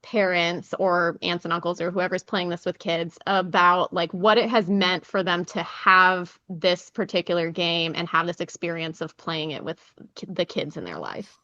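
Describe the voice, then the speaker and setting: A woman's voice: fairly low pitch, normal speed, pushed and bright. Woman podcast host, slightly dull lo-fi sound. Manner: enthusiastic, affirming. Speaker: podcast host interviewing a guest. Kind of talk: casual conversational interview.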